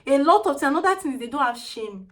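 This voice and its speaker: High, small-voiced Nigerian woman. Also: fast talker. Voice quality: small, tiny-sounding voice. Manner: animated, chatty, mixes in pidgin phrases. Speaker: vlogger. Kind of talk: casual storytelling vlog.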